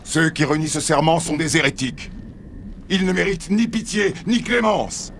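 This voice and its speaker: A zealous alien officer in a game cutscene. He declaims with pride in a raised, gravelly voice, amid clearly audible background noise. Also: on the high side, animated, normal speed, a bit bright. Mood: zealous, solemn. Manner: rallying, oath-like declamation. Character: proud, zealous male alien warrior commander. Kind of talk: dramatic video game cutscene acting.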